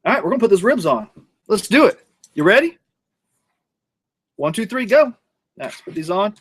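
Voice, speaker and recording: A man's voice: high voice, very animated, moderate pace, breathy edge. A man hosting a casual outdoor grilling vlog, rambling and joking as he cooks. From North Georgia, USA. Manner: rambling, jokey, talks while working the grill. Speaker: cooking show host.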